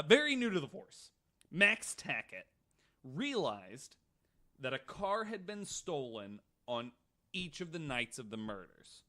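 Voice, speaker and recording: Man, American accent, bright forceful voice, podcast storyteller, reading from notes, casual asides. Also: medium pitch. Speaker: podcast co-host.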